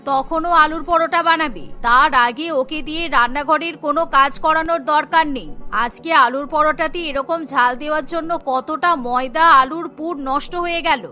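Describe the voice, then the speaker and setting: Woman putting on a bossy, bright-voiced mother-in-law. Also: sits high. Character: bossy, scolding middle-aged mother-in-law. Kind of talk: animated cartoon story voice acting.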